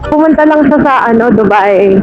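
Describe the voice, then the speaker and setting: A woman's voice: fairly high pitch, normal speed. A Filipino woman talking casually and candidly as a passenger being interviewed on a ride. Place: outdoors on a moving motorcycle. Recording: muffled, loud traffic and engine noise.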